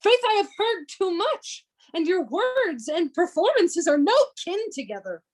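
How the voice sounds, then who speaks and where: A woman playing an aggrieved, gullible gentleman suitor in a Shakespearean radio play, indignant and petulant. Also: very high voice, sing-song, measured pace, heavily acted.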